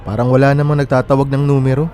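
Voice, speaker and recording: A male actor plays a young man in a radio drama, friendly and a little anxious by temper. He speaks in an easy, conversational way at a middling pitch. Music plays faintly beneath him. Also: flat delivery, rapid-fire, mellow, lightly acted. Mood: polite, helpful. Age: young adult. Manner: casual, conversational. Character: friendly, worried young man waiting at a bus terminal. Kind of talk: naturalistic radio drama dialogue in Tagalog.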